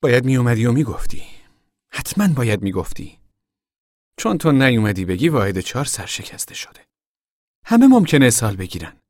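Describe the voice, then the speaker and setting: A man voicing a stern, indignant committee woman in a story, sharp, scolding and commanding. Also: mid-range voice, sing-song, long pauses, no room sound, studio quality. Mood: stern reproach.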